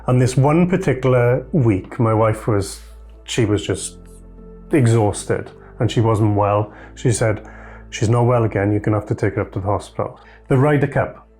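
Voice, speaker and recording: A foster father telling a lively, self-mocking story about his family. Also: fairly low pitch, normal speed, soft background music. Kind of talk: anecdotal storytelling.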